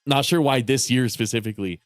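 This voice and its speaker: A man's voice: mid-range voice, fairly quick, no echo, studio quality, soft background music. Nasal, congested male streamer rambling. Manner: rambling, swears often, laughs between thoughts. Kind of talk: casual chat while livestreaming a game.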